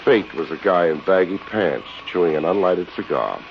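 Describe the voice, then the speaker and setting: Low-voiced, hard-boiled American radio detective. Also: male voice, moderate pace, vocal fry, a little hiss or hum. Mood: wry narration. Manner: wisecracking, slangy, deadpan. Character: wry, hard-boiled male private investigator. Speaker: radio detective lead and narrator. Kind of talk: 1950s radio detective drama acting. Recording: dull vintage radio recording, music behind.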